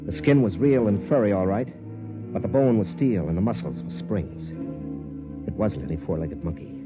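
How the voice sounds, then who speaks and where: An actor playing a cynical middle-aged American career soldier in a 1950s radio drama, plain-spoken and brooding. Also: male voice, fairly low pitch, normal speed, over music, lightly acted. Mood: grim narrating. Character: weary, cynical career soldier, twenty years in army. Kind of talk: 1950s radio drama acting, first-person narration. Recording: old band-limited 1950s radio recording.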